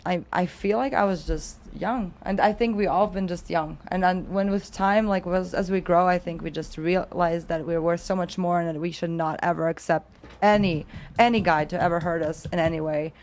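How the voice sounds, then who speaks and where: A woman in her thirties from Croatia, a guest on a panel, speaking earnestly and haltingly with stammered restarts. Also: on the low side, fairly even intonation, a little mellow, slight background noise. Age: 30s. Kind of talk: casual panel discussion.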